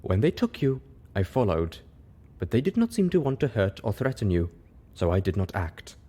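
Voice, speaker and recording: A man's voice: on the low side, big pitch swings, normal speed, warm tone, husky. A man voicing a stoic, resigned female zebra outcast in a storybook, intoning her words formally and plainly. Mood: calm. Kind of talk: storybook character voice.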